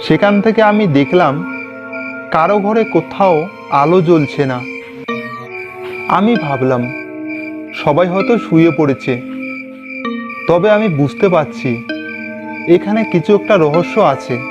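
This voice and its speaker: A man's voice: on the high side, expressive, slow, long pauses, lo-fi sound. A man narrating a scripted ghost story in the first person. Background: background music.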